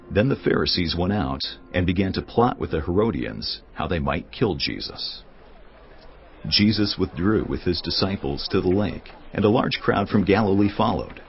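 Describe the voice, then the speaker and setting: A man narrates scripture with solemn, measured storytelling. His low voice is creaky yet moves widely in pitch. Music runs underneath. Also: animated. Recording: dull studio audio with music behind.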